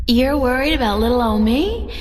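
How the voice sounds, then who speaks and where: A boastful, teasing young woman from a video game, speaking in a fairly high voice. Her lines carry a long reverb. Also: very animated, moderate pace, a little mellow.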